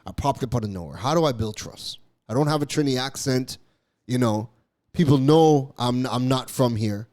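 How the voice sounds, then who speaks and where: A man's voice: mid-range voice, normal speed, a little mellow. A man raised in Canada hosting a business podcast, talking emphatically, repeating key phrases and now and then stumbling over his words. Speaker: podcast host. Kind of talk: solo business podcast monologue.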